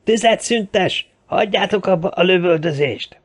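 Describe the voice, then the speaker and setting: A man's voice: mid-range voice, gravelly, darkish tone, voice pushed up, natural voice. A man voicing a stern, commanding bearded gang leader in an audiobook, giving orders and firm arguments. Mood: commanding, angry. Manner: barking orders, reasoning firmly.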